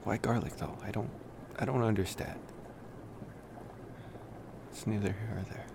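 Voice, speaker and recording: A man playing a laid-back, wry college-age vampire in an intimate ASMR roleplay, rambling casually and hesitantly with sighs and pauses. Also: lowish voice, vocal fry, background noise. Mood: musing, teasing.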